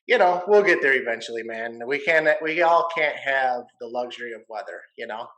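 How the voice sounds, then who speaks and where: A man's voice: mid-range voice, lively intonation, brisk, fairly bright tone, rough recording. A man from Michigan hosting a casual video-call interview, easygoing and jokey, often saying "man" and "you know". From Michigan, USA. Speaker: podcast host, realtor.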